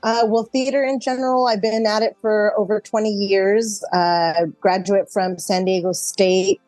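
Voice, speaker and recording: A woman's voice: medium pitch, steady tone, a little slow, darkish tone, soft background music. A middle-aged woman actor chatting casually in an interview, speaking in a reflective, conversational way.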